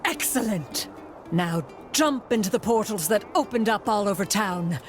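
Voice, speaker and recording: A woman's voice: mid-range voice, animated, normal speed, music in the background, lightly acted. A woman playing a sly fortune-teller sorceress in a cartoon game, grand, coaxing and falsely sweet. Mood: coaxing, gleeful. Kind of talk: exaggerated cartoon game acting.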